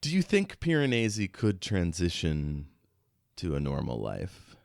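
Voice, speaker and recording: A man's voice: sits low, wildly swooping pitch, a little slow, vocal fry, darkish tone, no echo, studio quality. A man co-hosting a podcast, casually discussing a book in a reflective, slightly hesitant way. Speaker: podcast co-host.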